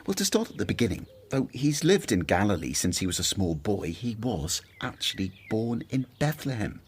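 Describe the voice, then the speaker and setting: Eager husky guy explaining stuff, low voice that jumps around. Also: very animated, moderate pace. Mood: earnest. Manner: eager, earnest, talks up ideas. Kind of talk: radio drama acting.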